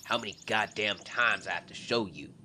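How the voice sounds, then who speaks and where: An actor voicing a gruff, angry middle-aged father who growls contemptuous orders in a much deeper voice than the narration. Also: moderate pace, pushed and bright, a little hiss or hum.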